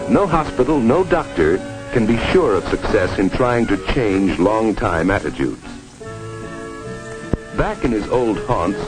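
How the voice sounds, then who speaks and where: Narrator: American male, formal and emphatic, lively sweeping intonation, old film soundtrack with prominent music. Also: lowish voice, poor audio. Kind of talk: 1950s educational film narration, scripted.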